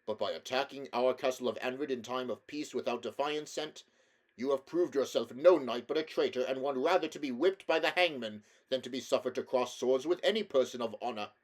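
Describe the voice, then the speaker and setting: A man voicing a bluff, hearty older king with a gruff, commanding way of speaking. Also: a little fast, close to an everyday voice. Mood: stern. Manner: booming, commanding, old-fashioned formal speech.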